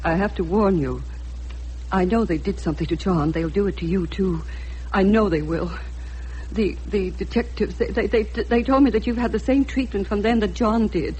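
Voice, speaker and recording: A woman's voice: medium pitch, normal speed, a little mellow, natural voice. Radio play actress: distraught middle-aged mother, pleading and urgent, stammering with fear, slightly noisy recording. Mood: fearful, urgent. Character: anxious, pleading mother of a missing man. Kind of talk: radio drama acting.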